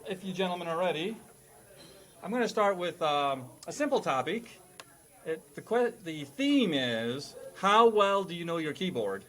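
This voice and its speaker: A man's voice: high-pitched, very animated, moderate pace, bright, forceful tone. A man hosting a podcast quiz, mixing scripted introductions with playful questioning in a mock-schoolmasterly manner. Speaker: quiz show host.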